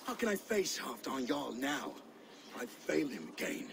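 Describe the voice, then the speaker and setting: An actor playing a fiery, brooding Danish Viking warrior in a video game cutscene. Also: male voice, mid-range voice, huge pitch swings, moderate pace, vocal fry, a bit bright, exaggerated voice. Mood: downcast, grim.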